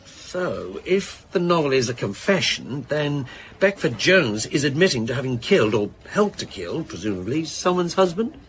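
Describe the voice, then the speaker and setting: A man's voice: on the high side, big pitch swings, normal speed, fairly bright tone. A man playing a junior detective in a radio drama, irreverent and eager to please, talking in a slangy, hesitant way. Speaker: actor in an audio drama. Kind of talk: naturalistic radio drama dialogue.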